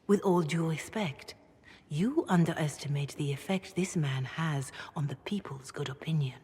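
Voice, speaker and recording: An actress playing a stern, formal reverend mother in a fantasy game, speaking in a measured, proud and wary way. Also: sits low, dark tone, husky. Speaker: religious dignitary. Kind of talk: scripted fantasy game dialogue. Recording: long hall reverb.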